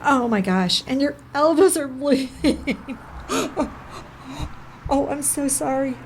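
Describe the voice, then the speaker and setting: A woman playing a distraught, ashamed mother who speaks haltingly and stammers, in an audio drama. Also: high voice, lively intonation, a little hiss or hum. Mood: tearful, sorry. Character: distraught, ashamed young mother living in car.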